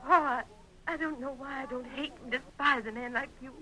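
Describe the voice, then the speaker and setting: An actress playing an earnest, pleading young American frontier bride with rustic speech in 1930s radio style. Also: female voice, sits high, steady tone, brisk, bright, forceful tone, rough recording. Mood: defiant, hurt. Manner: earnest, emotional, rustic grammar. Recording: vintage 1930s radio recording.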